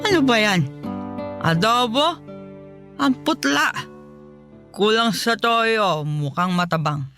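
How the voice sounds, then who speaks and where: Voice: mid-range voice, animated, slow, sharp tone, soft background music, heavily acted. An actor playing a bitter, fault-finding elderly mother, bedridden after a stroke, complaining and sighing in a Filipino radio drama. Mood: scornful, irritable. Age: older.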